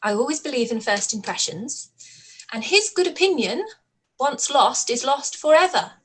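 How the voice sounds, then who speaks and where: A woman playing a witty, spirited young Regency gentlewoman in a radio play, arch and playful. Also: high voice, lively intonation, normal speed, slightly rough, rough recording. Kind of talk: radio play live read.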